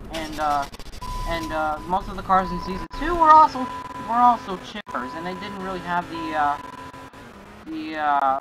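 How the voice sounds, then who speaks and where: A host of a casual gaming car review talks with a group in a halting way, often repeating phrases. The pitch is medium, and faint car and game noise sits behind the voice. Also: pushed and bright. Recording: noisy game and vehicle sounds.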